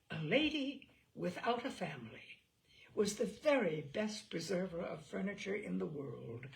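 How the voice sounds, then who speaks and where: A woman reading a classic novel aloud as an audiobook narrator, in an expressive storytelling style. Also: medium pitch, gravelly.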